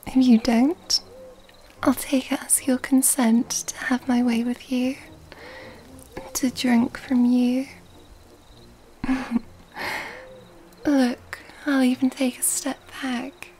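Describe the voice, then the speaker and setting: A woman acting as an elegant, predatory vampire lady in an ASMR roleplay. Her breathy, whispered voice sits high but stays soft and dark, unfolding very slowly with teasing, mysterious formality. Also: little pitch movement, slight background noise. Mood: predatory, coaxing. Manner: slow, teasing, formal old-fashioned wording.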